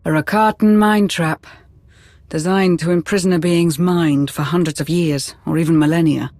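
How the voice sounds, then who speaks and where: A woman playing a composed Sith adviser in a video game, explaining things in a measured, formal way. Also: fairly low pitch, fairly even intonation, a little mellow, dry recording. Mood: cautious, solemn. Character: composed, knowledgeable Sith adviser, cautious and protective. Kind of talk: video game cutscene dialogue.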